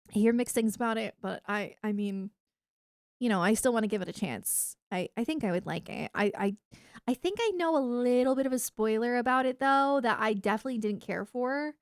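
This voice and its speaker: A woman's voice: on the high side, fairly quick, dry recording, clean studio sound. A woman livestreamer chatting casually with her viewers in an enthusiastic, emphatic gamer way. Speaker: video game livestreamer.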